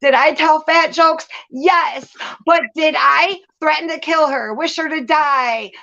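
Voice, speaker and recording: Woman, high pitch, candid venting, blunt, sweary, emphatic repetition, muffled lo-fi sound. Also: slow, gravelly, bright, forceful tone. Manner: blunt, profane, emphatic, repeats phrases for stress. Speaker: host of a live commentary show.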